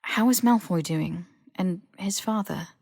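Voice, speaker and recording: A woman's voice: medium pitch, measured pace, creaky, darkish tone, husky, no room sound, studio quality. A woman voicing an earnest, cautious, analytical young British woman in an audiobook story. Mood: curious. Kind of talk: audiobook character dialogue.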